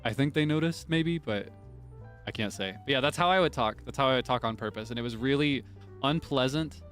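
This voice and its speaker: A man's voice: mid-range voice, a little fast, fairly bright tone, soft background music. Chatty laughing young man streaming. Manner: chatty and self-deprecating, laughs often. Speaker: virtual streamer. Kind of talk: casual chat answering viewer comments.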